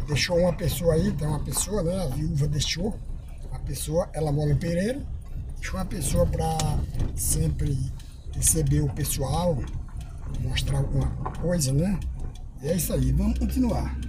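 A man's voice: a little high, moderate pace. A man from Ceará with a Rio Grande do Norte accent, chatting casually to viewers as he drives his car. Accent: Rio Grande do Norte Brazilian Portuguese. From Ceará, Brazil. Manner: chatty, folksy, sings along while driving. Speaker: vlogger. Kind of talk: casual driving vlog narration. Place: inside a moving car. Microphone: phone camera on the dashboard. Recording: car and road noise behind the voice.

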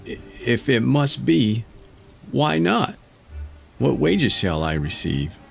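A man's voice: mid-range voice, sing-song, slow pace, creaky, a bit bright, soft background music, pitched above the performer's own voice, heavily acted. A man voicing a boastful but timid little male tailor, talking in a raised, strained, whimpering way.

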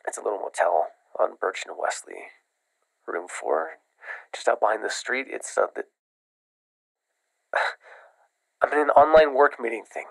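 A young man acting a desperate, evasive caller, speaking haltingly with a gravelly voice in an immersive audio roleplay. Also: medium pitch, big pitch swings, no room sound, heavily acted, poor audio. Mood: urgent, desperate. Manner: halting, pleading. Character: desperate, evasive man pleading over the phone.

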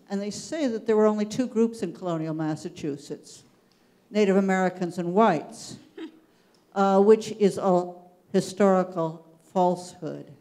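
A woman speaking in a measured, earnest way, making an audience comment at a panel discussion. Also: medium pitch, mellow. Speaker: audience member, education committee chair. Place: large theatre hall.

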